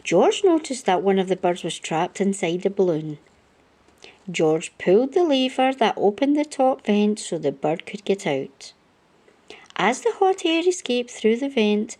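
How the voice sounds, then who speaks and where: A woman reading a children's storybook aloud as an expressive narrator. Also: mid-range voice.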